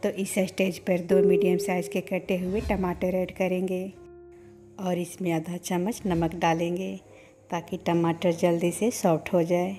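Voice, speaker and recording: A woman guides listeners through a home recipe in a calm, measured voice-over. Her voice sits low and warm, moving in a narrow, even line. Faint background music plays beneath her steady instructions. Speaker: cooking channel host.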